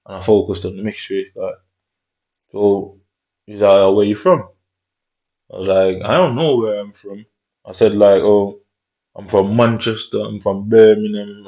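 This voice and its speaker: A man's voice: fairly low pitch, darkish tone. A man telling a story in a casual monologue, in streetwise slang, swearing and tagging lines with "innit". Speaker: vlogger. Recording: dull lo-fi recording.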